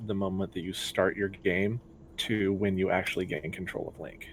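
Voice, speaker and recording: A man's voice: low-pitched, fairly even intonation, normal speed, slight background noise. A man commentating on a speedrun, patiently explaining the game's mechanics.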